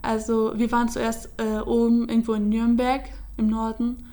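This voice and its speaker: A woman's voice: a little high, flat delivery, normal speed, mellow. A young woman from Syria, telling her story in German with many fillers and restarts. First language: Kurdish. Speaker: interviewee. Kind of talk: casual personal account.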